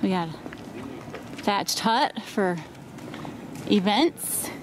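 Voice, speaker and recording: A woman hosts a casual sailing vlog, talking in an upbeat, chatty way as she shows off a marina. Her voice sits at an ordinary middle pitch. Clear outdoor noise runs behind her throughout. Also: expressive, extremely slow. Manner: chatty, upbeat tour-guide talk. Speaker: vlog host interviewing crew. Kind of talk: casual vlog narration and tour.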